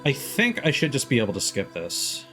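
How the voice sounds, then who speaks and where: A man's voice: medium pitch, huge pitch swings, moderate pace, pushed and bright. A man giving casual live commentary as a game streamer, wry and musing aloud. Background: faint game music.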